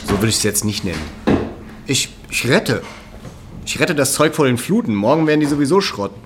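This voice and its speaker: Actor as cocky, animated young hustler. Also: male voice, medium pitch, moderate pace, a little hiss or hum, close to an everyday voice. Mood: pushy, excited. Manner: chummy, slangy, pushy. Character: cocky, slangy young hustler pushing loot on his friend. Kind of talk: naturalistic radio drama acting.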